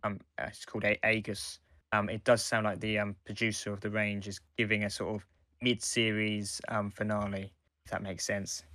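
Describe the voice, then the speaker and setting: A man co-hosting a casual fan podcast, talking in a halting, hesitant way as he reviews. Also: lowish voice, flat delivery, sharp tone, no echo, studio quality. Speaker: podcast co-host.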